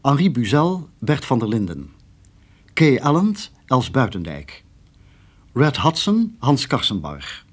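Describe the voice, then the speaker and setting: A male radio drama announcer reads scripted credits. His medium-pitched voice is a little rough, and he takes his time, lifting and dropping the tone expressively. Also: huge pitch swings, takes it slowly.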